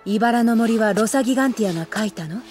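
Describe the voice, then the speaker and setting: A woman's voice: on the high side, fast, darkish tone, soft background music, lightly acted. Woman playing a pushy, scheming schoolgirl reporter. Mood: pressing, coaxing. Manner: insinuating, coaxing. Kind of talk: Japanese anime audio drama acting.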